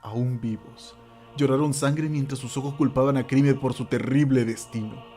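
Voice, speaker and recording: A man tells a scripted horror story in a mid-range voice. His delivery is theatrical and suspenseful, broken by dramatic pauses, with music beneath it. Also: mid-range voice, fairly even intonation, warm tone. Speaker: narrator.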